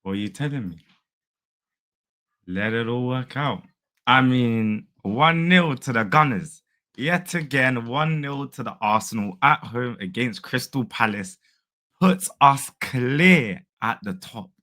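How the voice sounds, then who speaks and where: A young man whose voice is hoarse and fading hosts a casual football reaction vlog. He talks in a chatty, upbeat way and uses plenty of fillers. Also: medium pitch, expressive, slow, pushed and bright. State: losing his voice. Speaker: football fan channel host.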